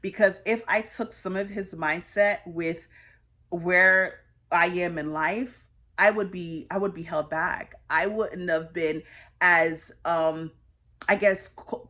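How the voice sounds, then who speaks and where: A woman's voice: fairly low pitch, a bit bright. Earnest, reflective woman in her thirties. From United States. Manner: earnest, conversational, with frequent fillers. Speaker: host sharing personal reflections. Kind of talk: reflective personal monologue.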